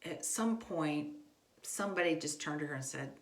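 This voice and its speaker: Female homeschool instructor, middle-aged, slightly low register, informal and conversational, echoey room recording. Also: animated, moderate pace. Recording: echoey, roomy sound.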